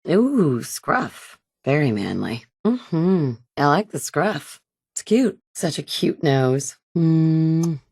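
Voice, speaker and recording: A woman's voice: deep voice, big pitch swings, slow, darkish tone, breathy edge, no room sound, full character voice. A woman playing a determined young mother surviving in a wasteland, with earnest, dry, conversational delivery in video game dialogue. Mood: warm, teasing. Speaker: video game player character.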